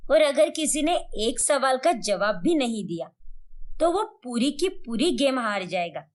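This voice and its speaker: A woman's voice: on the high side, pitched below the performer's own voice. A woman voicing a scheming, bossy middle-aged mother-in-law in a colloquial, assertive way for a cartoon story.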